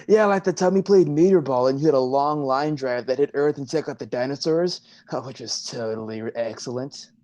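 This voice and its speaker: A man's voice: medium pitch, moderate pace, few pauses, darkish tone, close to an everyday voice. A man playing a laid-back, teasing young sea god with surfer slang in a staged play reading. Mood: playful.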